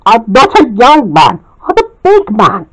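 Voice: medium pitch, wildly swooping pitch, measured pace, a bit bright, husky, pitched above the performer's own voice, exaggerated voice, poor audio. An amateur storyteller playing a needy male agent who talks in a childlike, earnest way. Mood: indignant. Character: sad, needy male agent wanting a playmate.

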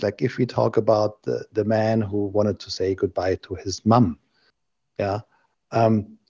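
A middle-aged man speaking in a measured, thoughtful way in a casual video call discussion. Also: mid-range voice, mellow, husky. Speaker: panel guest. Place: indoor room on a video call. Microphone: desk microphone on a video call. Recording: dull lo-fi video call audio.

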